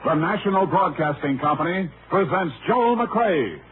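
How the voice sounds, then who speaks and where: A man's voice: high voice, lively intonation, measured pace, slight background noise. A man announcing a dramatic scripted introduction in 1950s radio style. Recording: tinny old radio recording.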